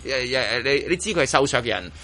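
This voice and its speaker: A man's voice: mid-range voice, expressive, fast, bright, forceful tone. A man with a Hong Kong Cantonese accent hosting casual radio chat, rephrasing himself emphatically as he goes. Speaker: radio show host.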